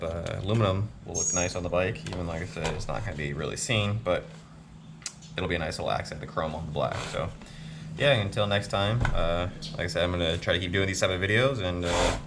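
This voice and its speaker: Very deep-voiced man talking through a DIY build. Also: noisy background. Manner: relaxed, many "uh" pauses. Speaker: motorcycle builder. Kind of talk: casual DIY build walkthrough. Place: home workshop.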